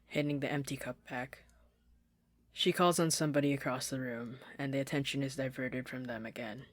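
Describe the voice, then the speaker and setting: A steady, soft-spoken podfic narration, read close into a desktop mic. The sound is dry with no room around it. The pitch sits in the middle. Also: no echo. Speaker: fan fiction reader. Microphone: desktop condenser mic.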